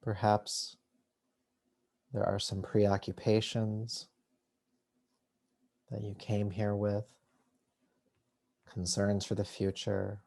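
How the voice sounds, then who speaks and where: A man with a low-set, relaxed voice guides a meditation as a yoga teacher. He speaks very slowly and soothingly, in short phrases with long pauses between them. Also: vocal fry.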